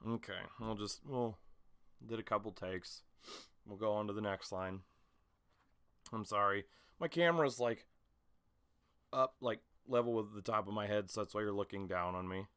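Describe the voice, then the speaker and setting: A man's voice: fairly low pitch, moderate pace, long pauses, a bit bright, smooth. A man chatting casually to camera as an amateur voice actor, rambling in a hesitant, self-conscious way. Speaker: amateur voice actor recording an audition video. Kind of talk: casual vlog chat. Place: small home room with a mattress against the door.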